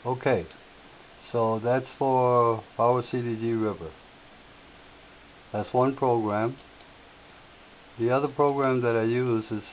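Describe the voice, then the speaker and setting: A man with a slightly raspy voice, hosting a software tutorial and explaining each step in an unhurried way with filler words. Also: mid-range voice. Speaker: tutorial host. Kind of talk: screen-share software tutorial. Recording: tinny lo-fi audio with faint hiss.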